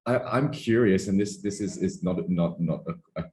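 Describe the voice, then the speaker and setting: A man with a very low voice asks long questions over a video call. He restarts and repeats words as he goes. His voice has an echoey, roomy sound. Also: normal speed, warm tone. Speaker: interviewer, host.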